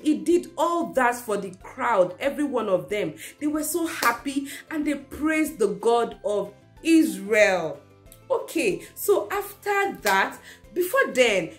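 A young woman teaching a children's church class, retelling a Bible story to small children with lots of repetition and questions. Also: fairly high pitch. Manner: animated, repeats key phrases for kids. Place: indoor room at home.

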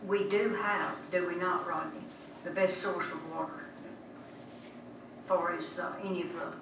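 A woman's voice: fairly low pitch, fairly even intonation, measured pace, gravelly. A woman council member discussing business informally at a meeting. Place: indoor council chamber. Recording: very echoey room, distant mic, noise.

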